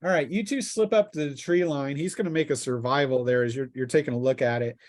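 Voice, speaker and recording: A man with a mid-range voice runs a tabletop game as its game master. He narrates casually and haltingly, thinking aloud partway through his sentences. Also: mid-range voice, a little mellow.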